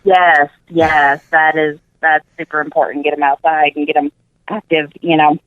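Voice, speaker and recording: A woman's voice: deep voice, level tone, moderate pace, no room sound. Woman guest on a muffled call. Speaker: interview guest, nutrition coach. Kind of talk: casual radio interview chat.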